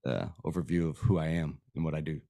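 Hoarse male guest from Florida, very low voice; relaxed, rambling studio interview talk. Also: fast talker, dry recording. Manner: easygoing, rambling, says "man" often. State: losing his voice. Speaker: podcast guest. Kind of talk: casual interview chat. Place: podcast studio.

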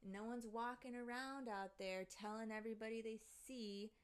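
A woman's voice: a little high, fairly even intonation, moderate pace. A young adult woman ranting casually to her viewers, exasperated, sarcastic and full of swearing.

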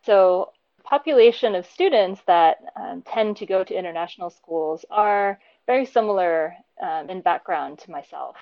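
A woman in her thirties, raised between the US and Japan, answering interview questions in a thoughtful, casual way, often pausing with "um" and laughing lightly. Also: fairly low pitch, lively intonation, gravelly, no room sound, highs cut off. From born in Japan, raised between the US and Japan. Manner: warm, thoughtful, frequent ums and light laughs. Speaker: interview guest, program teacher.

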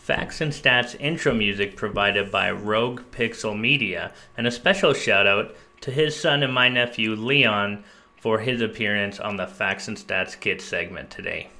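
A man's voice: lowish voice, bright, forceful tone. A young man co-hosting a casual podcast, talking in an upbeat, joking way. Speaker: podcast co-host.

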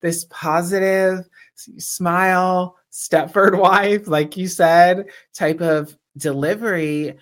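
A man co-hosting a podcast, with a naturally high voice. He talks in a reflective, self-aware way and grows animated when he presses a point. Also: slow. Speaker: podcast co-host. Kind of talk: casual conversational chat.

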